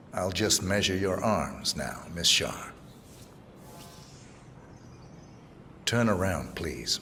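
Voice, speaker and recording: A courteous undercover killer with a very low, hard-edged voice. He speaks in short, measured phrases, staying calm and understated like a video game hitman in disguise. There is long reverb around him. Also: slight background noise, heavily acted. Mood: calm, instructing.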